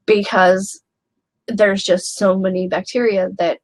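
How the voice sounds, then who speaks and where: A young woman hosting a live question-and-answer chat, talking candidly with many pauses and fillers, a little snuffly from a flu. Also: mid-range voice, little pitch movement, dry recording. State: snuffly, getting over a flu. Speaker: host of a live question stream.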